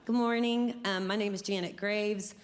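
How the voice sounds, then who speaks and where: Chatty woman from Oklahoma, mid-pitched. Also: fairly even intonation, moderate pace, crowd noise. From Oklahoma, United States. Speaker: participant introducing herself. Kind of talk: casual self-introduction to a group.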